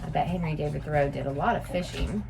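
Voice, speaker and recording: A woman's voice: sits low, quick pace, creaky, noisy background. A woman reading a picture book aloud to young children, adding simple explanatory asides as a story-time teacher.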